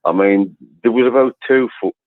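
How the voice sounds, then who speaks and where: A man with a deep voice, a caller telling a rambling story on a phone-in show and laughing as he goes. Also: normal speed, dry recording, narrow, tinny audio. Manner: chatty, rambling, laughs through his stories.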